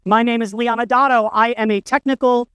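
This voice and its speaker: A man's voice: extremely high pitch, fairly even intonation, a little fast, fairly bright tone, clear, resonant tone, no echo, studio quality. A middle-aged man giving a conference talk, telling stories with wry, self-deprecating humor. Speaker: conference speaker. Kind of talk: storytelling conference keynote. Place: conference stage, indoors.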